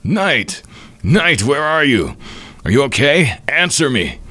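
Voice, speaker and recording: A man's voice: mid-range voice, very animated, a little fast, a bit bright, voice pushed up. A man voicing an anxious, self-doubting, brainy young man from a comic in an earnest, emotional way. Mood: panicked.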